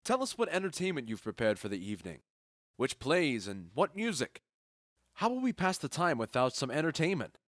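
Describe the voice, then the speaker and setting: A man's voice: on the high side, sing-song, moderate pace, fairly bright tone, dry recording, clean studio sound. A man playing a confident, genial Athenian duke in a modernized Shakespeare audio drama, speaking in a self-assured, declamatory way. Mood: curious, amused.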